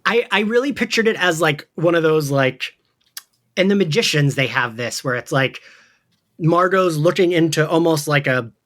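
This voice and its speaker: A man's voice: a little high, lively intonation, moderate pace, fairly bright tone. A man hosting a podcast, chatting casually and rambling through a pop-culture recap with slang and swearing. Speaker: podcast host.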